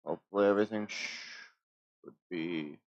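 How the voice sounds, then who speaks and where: An American man chatting casually on a gaming livestream, subdued and halting, a little under the weather with a sore throat. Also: low-pitched, no echo, lo-fi sound. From United States. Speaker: livestreamer, VTuber. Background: music.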